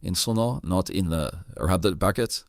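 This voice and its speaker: A man hosting a casual chat, asking questions in a halting, inquisitive way. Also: low voice, no room sound.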